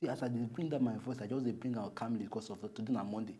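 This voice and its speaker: Fast-talking Nigerian man co-hosting. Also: mid-range voice, slightly rough. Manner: animated, swings from hushed mock calm to loud protest. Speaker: co-host. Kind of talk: bantering Pidgin talk-show chat.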